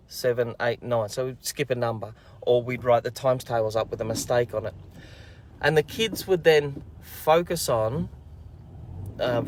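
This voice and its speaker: A man's voice: mid-range voice, slight background noise. A man, hosting a children's learning program and chatting casually to camera in an upbeat, rambling, encouraging way.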